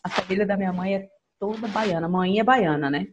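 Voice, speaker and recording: A woman's voice: mid-range voice, fairly quick, background noise. A woman in her thirties, a nun from Espírito Santo with a mixed Minas Gerais and Bahia accent, chatting animatedly on a video call and adding "né?" to her phrases. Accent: mixed Brazilian, Minas Gerais and Bahia. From Espírito Santo, Brazil. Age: 30s. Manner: animated, laughs often, adds "né?" to phrases. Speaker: nun and singer, podcast guest. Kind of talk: casual conversation. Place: plain room, video call.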